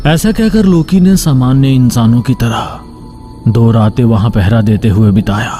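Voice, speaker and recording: A man's voice: medium pitch, normal speed, dark tone, faint music behind. A man reading scripted story narration for a cartoon tale.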